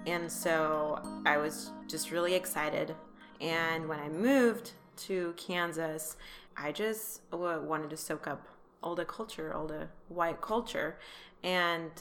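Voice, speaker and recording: A woman from Iran telling her personal story as an interview guest, speaking haltingly and repeating words as she thinks. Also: deep voice, fairly bright tone.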